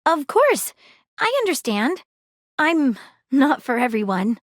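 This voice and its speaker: A woman's voice: sits high, very animated, slow, no room sound, clean studio sound, exaggerated voice. A woman voicing an eager female detective who is a magnifying glass, with a dramatic, earnest and playful sleuth delivery in a dating video game. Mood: affectionate.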